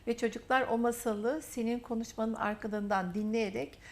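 Woman, interview host, praising, repetitive emphatic phrasing, slightly high voice. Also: fairly even intonation, moderate pace. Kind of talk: warm, effusive interview talk.

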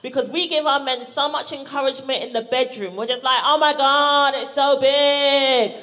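A woman's voice: high voice, moderate pace. A woman from Barbados with a British accent performing an exclamatory, sweary stand-up routine to a live audience. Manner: animated, exclamatory, sweary, acts out reactions. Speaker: stand-up comedian. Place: live show on stage in a venue. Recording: live comedy venue with audience noise. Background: audience laughter and room ambience.

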